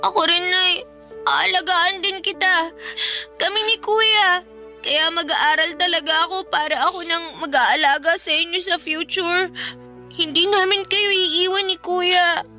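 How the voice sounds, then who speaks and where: A woman's voice: extremely high pitch, fairly even intonation, unhurried, pushed and bright, exaggerated voice. A woman playing an anxious, sweet young schoolgirl daughter in a radio drama, pleading in a tearful, childlike way. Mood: devoted, tearful. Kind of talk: Tagalog radio drama acting. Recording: dry studio with music behind.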